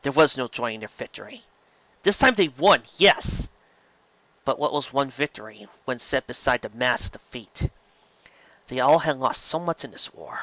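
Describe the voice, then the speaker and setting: Forceful male sci-fi story narrator, dry sound. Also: medium pitch, lively intonation, moderate pace, dry recording, highs cut off. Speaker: story reader. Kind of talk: dramatic narration of science fiction fan fiction.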